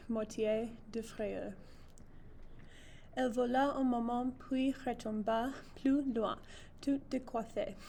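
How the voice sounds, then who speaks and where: Slow-reading young woman, slightly high voice. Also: warm tone. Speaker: student reader. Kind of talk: read-aloud children's storybook narration. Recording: noticeable background noise.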